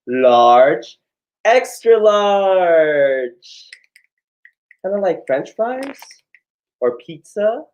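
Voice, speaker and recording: A drag queen reads aloud to children with theatrical flair. The delivery is very unhurried and encouraging, stretching words out, with playful asides in a medium-pitched voice. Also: very animated, darkish tone, highs cut off. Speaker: drag queen story time co-host.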